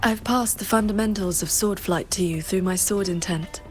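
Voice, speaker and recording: A woman playing a stoic, formal swordswoman and stern mentor in a video game, speaking in a measured, restrained way. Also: mid-range voice, darkish tone, soft background music, lightly acted. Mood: solemn. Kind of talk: video game character dialogue.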